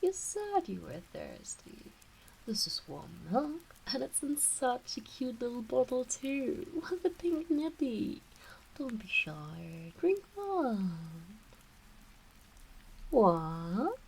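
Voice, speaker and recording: A woman voicing a teasing, doting kitsune witch in an intimate ASMR roleplay, with a soft breathy voice that often slips into whispers and coaxing baby-talk. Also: sits high, big pitch swings, slow pace, slight background noise, heavily acted, poor audio. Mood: playful, coddling. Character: teasing, doting kitsune fox witch fussing over her pet. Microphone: close ASMR microphone.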